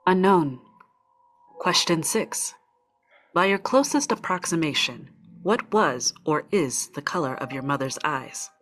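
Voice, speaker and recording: A woman playing a stoic, politely chipper corporate orientation facilitator in a script table read, brisk, procedural and artificially courteous. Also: low-pitched, lively intonation, fairly bright tone, faint music behind, full character voice. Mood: brisk, officious.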